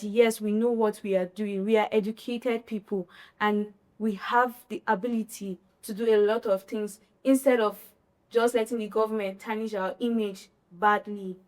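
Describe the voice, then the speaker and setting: A woman on a panel, giving her final thoughts in an earnest, measured way. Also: mid-range voice, a little mellow.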